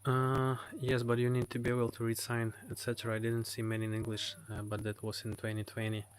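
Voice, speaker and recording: A man's voice: mid-range voice, monotone, a little fast, slight background noise. A Ukrainian man with a Ukrainian accent, hosting a live stream and answering chat comments in hesitant English full of fillers. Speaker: livestream host. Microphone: phone.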